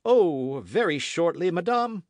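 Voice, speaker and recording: Man doing a cold cruel king, high raised voice, imperious, demanding, storybook reading, dry sound. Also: big pitch swings, unhurried, darkish tone, no room sound. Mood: evasive. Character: stern cold-hearted king, adult man. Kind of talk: audiobook character reading.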